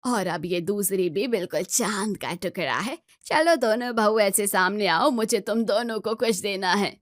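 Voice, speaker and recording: A woman's voice: medium pitch, normal speed, dry recording, studio quality, natural voice. Woman as a stern older family aunt. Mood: presiding, firm. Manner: firm, admonishing.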